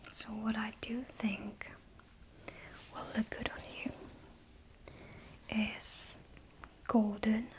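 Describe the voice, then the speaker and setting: A woman speaking in a breathy whisper, gently explaining each step of a styling session to a client as unscripted ASMR. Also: medium pitch, a little hiss or hum, poor audio. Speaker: makeup and colour analysis stylist.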